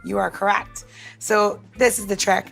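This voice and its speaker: A woman's voice: medium pitch, fairly even intonation, moderate pace, vocal fry, fairly bright tone, soft background music. A woman hosting a podcast who chats bluntly and breezily, then walks listeners through a cocktail syrup recipe. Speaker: podcast host, cocktail presenter. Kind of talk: casual chat and recipe walkthrough.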